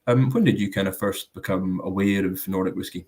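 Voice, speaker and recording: Deep voiced guy hosting a whisky tasting, lots of ums, chatty and self-deprecating. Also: brisk, a little mellow. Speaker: host of a live whisky tasting. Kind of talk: casual hosting chat.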